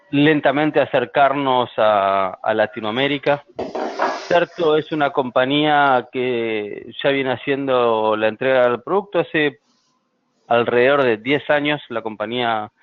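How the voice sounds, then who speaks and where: A man with an Argentine accent presenting a product in a webinar, speaking in a measured way with frequent hesitations. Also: medium pitch. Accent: Argentine Spanish. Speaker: sales engineer presenting a product. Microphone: computer mic over an online call. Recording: tinny phone-like line, dry.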